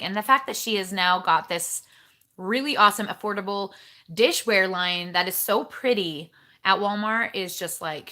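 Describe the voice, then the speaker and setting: A chatty woman hosts a casual livestream from her home and greets viewers one by one. Her voice is bright and forceful, at a middle pitch, and she rambles in a friendly, bubbly way. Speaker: livestream host. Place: home kitchen.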